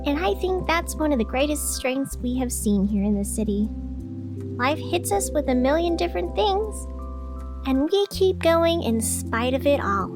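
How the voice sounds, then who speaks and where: A woman's voice: high-pitched, lively intonation, normal speed. A woman playing an earnest, flustered radio host in a scripted audio drama, chatty and a little hesitant as she bravely soldiers on. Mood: earnest reporting. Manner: hesitant, self-reassuring, chatty. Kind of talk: scripted fiction podcast in the form of a community radio broadcast. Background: music under the voice.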